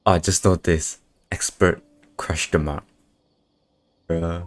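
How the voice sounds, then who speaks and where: A man's voice: sits low, steady tone, slow. A man giving casual, wry commentary while streaming a video game, speaking drily.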